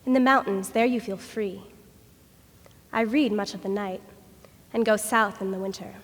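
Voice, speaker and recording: A woman giving an expressive, storytelling dramatic recitation of poetry. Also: mid-range voice, moderate pace. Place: large indoor hall. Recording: reverberant hall.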